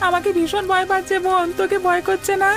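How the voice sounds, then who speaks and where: A woman acts as a small, frightened cartoon child from a village. The voice is extremely high with a creaky edge, pleading and worried, with music underneath. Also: little pitch movement, quick pace. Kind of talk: scripted cartoon dialogue.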